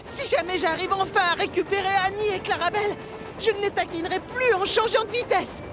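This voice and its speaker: A woman's voice: extremely high pitch, fairly quick, over music. A woman voicing an eager, earnest young male tank engine who exclaims boyishly in a children's cartoon. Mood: regretful. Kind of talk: children's cartoon dub acting.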